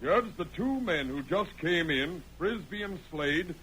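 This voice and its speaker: A confident, defiant Old West cowboy in a radio drama. He speaks in a high, declamatory voice with folksy frontier phrasing. The old recording carries faint noise. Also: lively intonation, unhurried, fairly bright tone. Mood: confident, accusing. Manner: emphatic, declamatory, folksy Western speech. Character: defiant, confident framed cowboy, taking charge of court. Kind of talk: old-time Western radio drama acting. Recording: noisy vintage radio recording.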